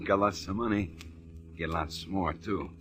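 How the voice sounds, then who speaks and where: Slow, tipsy, folksy crook. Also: male voice, sits low, a bit bright, faint music behind. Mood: tipsy, jovial. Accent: American. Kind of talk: 1940s radio drama acting. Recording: vintage radio broadcast.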